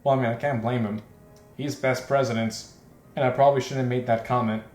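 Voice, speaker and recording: A man's voice: medium pitch, steady tone, a little fast, a little mellow, faint music behind. A man with a mid-range voice plays through a visual novel and comments as he goes. He is jokey, rambling and a little self-conscious. The room sounds echoey. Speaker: let's play host. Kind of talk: joking commentary and read-aloud of a visual novel. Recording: echoey, roomy home recording.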